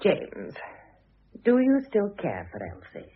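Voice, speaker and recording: An actress playing a poised, wryly ironic middle-aged mother and ex-wife, gently sarcastic in an old-fashioned radio drama. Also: medium pitch, wildly swooping pitch, takes it slowly, slightly rough, heavily acted. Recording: muffled, lo-fi old radio recording.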